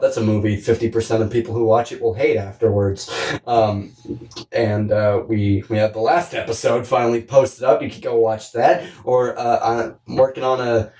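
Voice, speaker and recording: A man's voice: mid-range voice, fairly even intonation, moderate pace, a little mellow. Echoey, distant man rambling on a podcast. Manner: rambling, joking, profane, self-deprecating. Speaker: podcast co-host. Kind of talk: casual chat. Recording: echoey room, distant from the mic.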